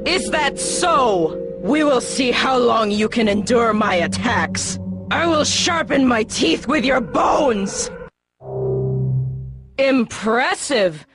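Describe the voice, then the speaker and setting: A woman voicing a fierce, bloodthirsty warrior of a savage army in a video game, husky, taunting and commanding. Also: on the high side, animated, takes it slowly, music in the background. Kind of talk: video game cutscene acting.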